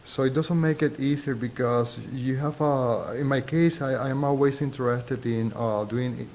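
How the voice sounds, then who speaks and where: A middle-aged man and native Spanish speaker with a thick Puerto Rican accent, giving reflective, halting, deliberate interview answers as a university professor. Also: steady tone, a little mellow. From Puerto Rico. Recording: noisy, low rumble behind.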